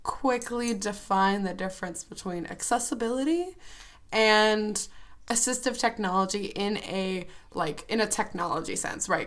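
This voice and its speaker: Young woman co-host, twenties, scratchy hoarse voice, bubbly chatter. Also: medium pitch. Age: 20s. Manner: bubbly, chatty, laughs often. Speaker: podcast co-host. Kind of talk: casual chat.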